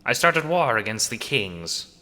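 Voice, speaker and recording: A man voicing a brooding exiled revolutionary in an audio drama, terse, measured and guarded. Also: medium pitch, big pitch swings, pushed and bright, in a large hall.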